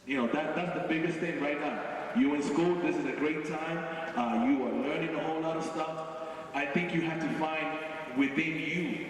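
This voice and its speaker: A man from Boston giving an earnest motivational speech to students at a school, slowing down and repeating his key lines. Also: fairly high pitch, slight background noise. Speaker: guest speaker at a school. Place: school hall or gym. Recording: echoey hall, voice from far off.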